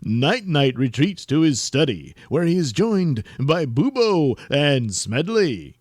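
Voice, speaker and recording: A man's voice: on the high side, big pitch swings, slow pace, no room sound, clean studio sound. A man giving dramatic scripted narration for a comic audio serial.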